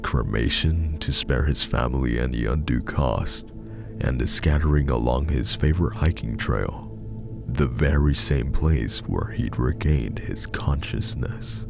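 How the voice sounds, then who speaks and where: A man narrates horror fiction in an extremely low voice. He reads slowly and with measured drama, his tone thick with gravel. Music plays clearly underneath. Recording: music behind the voice.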